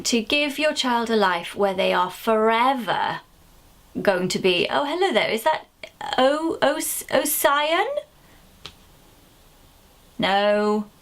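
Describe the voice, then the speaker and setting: A woman in her thirties from Wales, chatting casually to camera as a parenting vlogger, animated and jumping into a high voice for little asides.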